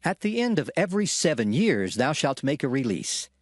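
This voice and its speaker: A man giving a solemn, measured, declamatory reading of scripture in a dramatized production. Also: fairly high pitch, sing-song, dry recording, studio quality. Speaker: scripture reader. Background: background music.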